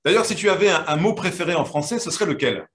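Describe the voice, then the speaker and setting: A man's voice: fairly high pitch, animated, quick pace, fairly bright tone, roomy sound. A man hosting an online quiz final, interviewing the winners in a friendly, hesitant way with many fillers. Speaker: host.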